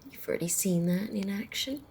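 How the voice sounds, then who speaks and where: A woman playing a centuries-old female vampire in an ASMR roleplay, wry, teasing and casually menacing, in a soft, breathy, often whispered voice. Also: medium pitch. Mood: calm, explaining. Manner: unhurried, amused, conversational.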